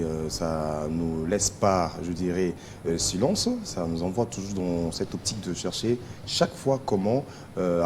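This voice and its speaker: A Congolese man speaks as a charity guest on a television show. His voice is deep and dark, and he moves haltingly, searching for words. A slight low noise sits under the studio recording. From Kisangani, DR Congo. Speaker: charity association representative, TV guest. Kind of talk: spoken interview answers.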